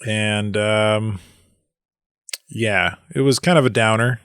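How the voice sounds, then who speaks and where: A man co-hosts a casual movie podcast in a fairly low voice. He speaks haltingly, restarting his sentences and filling gaps. The sound is dry and close. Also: fairly bright tone, clear, resonant tone, no room sound. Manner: hesitant, many restarts and fillers. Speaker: podcast co-host. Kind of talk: casual movie review chat.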